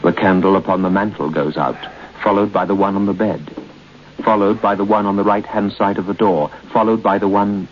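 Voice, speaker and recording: A man acts as the narrator of an old-time radio horror play. His very deep voice is grave and deliberate, building suspense, on a dull recording with faint noise. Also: fairly even intonation, lightly acted. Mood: suspenseful. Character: grave, ominous narrator of a ghost story. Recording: muffled, noisy old radio broadcast.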